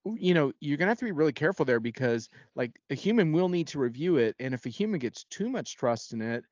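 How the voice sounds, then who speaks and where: A man's voice: on the high side, big pitch swings, fast talker, darkish tone, dry recording. Man explaining informally in dull, dry audio. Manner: informal, explanatory, often seeks agreement. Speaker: guest technology analyst. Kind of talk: conversational expert commentary.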